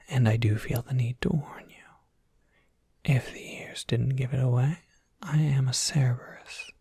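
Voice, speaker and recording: A very breathy, whispering monster professor, acted out by an ASMR performer, courteous and bookish. The speech is unhurried and given to genteel rambling, with a creaky edge, as in a soft roleplay. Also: mid-range voice, dry recording, full character voice. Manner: polite, gently scholarly, prone to rambling.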